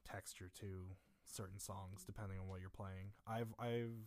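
A man's voice: deep voice, moderate pace. A young man chatting casually as a guest drummer, rambling with restarts, his voice a bit strained. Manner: relaxed, rambling with restarts and fillers. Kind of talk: casual chat.

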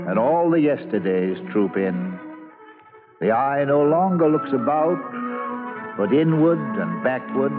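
Slow, theatrical elderly storyteller. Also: male voice, medium pitch. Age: older. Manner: theatrical, measured, lyrical. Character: elderly male actor reminiscing wistfully over his boyhood. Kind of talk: 1940s radio drama narration. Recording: old muffled radio broadcast with music behind.

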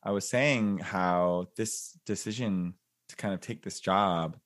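A man's voice: sits low, takes it slowly. A man in his forties, a podcast guest, talking casually and thinking aloud with lots of fillers and restarts. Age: 40s. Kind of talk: casual interview chat.

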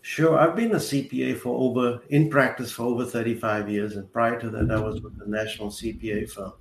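Gravelly older man. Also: mid-range voice, steady tone. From South Africa. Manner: measured, methodical explainer. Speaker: accountant and retirement adviser guest. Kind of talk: explanatory interview answers. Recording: roomy call audio with faint hiss.

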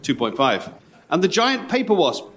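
Male reader, slightly high voice, dramatic delivery of short poetic lines. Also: big pitch swings, normal speed, a bit bright. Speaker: live event reader.

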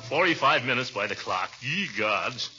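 An actor playing a pompous, easily smitten middle-aged American suitor in a blustering, theatrical 1940s radio comedy style. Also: male voice, mid-range voice, animated, normal speed, lightly acted. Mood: exasperated. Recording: muffled old radio recording with music.

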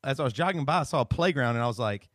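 A man in his late thirties with a Southern drawl, co-hosting a casual podcast. He tells long, rambling stories and laughs often at his own jokes. His voice sits at a middle pitch, recorded close and dry. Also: expressive, rapid-fire, fairly bright tone, no room sound. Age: 30s. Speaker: podcast co-host. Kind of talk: casual chat.

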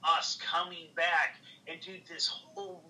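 A man's voice: fairly high pitch, flat delivery, measured pace, distant mic, a crowd in the background, rough recording. A man in a casual livestream conversation, rambling and swearing, with lots of "like" fillers. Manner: rambling, heavy on "like" fillers, profane. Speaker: livestream guest.

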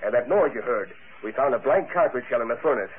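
An actor playing a plain-spoken police sergeant in an old-time radio drama, blunt and slangy. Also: male voice, medium pitch, lively intonation, fast, natural voice. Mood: matter-of-fact. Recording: muffled, noisy old radio recording.

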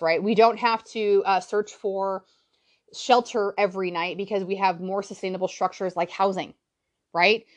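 A woman in her thirties hosting a solo personal-development podcast, chatty and blunt, often checking in with her listeners. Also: medium pitch. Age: 30s. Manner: chatty, keeps checking in with "right?" and "all right?"; blunt and a little profane. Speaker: podcast host.

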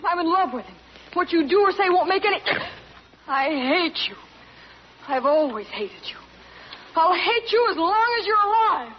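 A woman's voice: very high-pitched, expressive, normal speed, pauses often. A woman playing a spirited, earnest young farm daughter in an old-time radio drama, with an emotional delivery. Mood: furious, defiant. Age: young adult. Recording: muffled, noisy old radio recording.

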